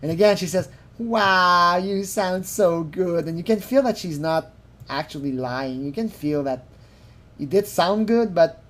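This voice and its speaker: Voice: medium pitch, lively intonation, moderate pace, a little mellow. Video commentator, mid-range voice, informal and rambling, giggly, given to mocking impressions. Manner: giggly, often laughing through sentences; rambling, with acted-out mocking quotes. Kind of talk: informal spoken commentary on a recording.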